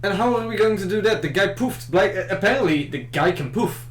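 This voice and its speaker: A man's voice: high voice, expressive, fairly quick. A man playing a tabletop roleplaying game, bantering casually in character, in an echoing room. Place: indoor room, group game livestream. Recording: echoey room with clear background hum.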